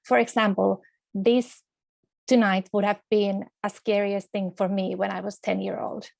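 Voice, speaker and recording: Earnest woman from Finland, gravelly voice. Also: medium pitch, level tone, a little slow, a little mellow, no echo. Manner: earnest, careful, slightly formal phrasing. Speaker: guest speaker. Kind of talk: online presentation.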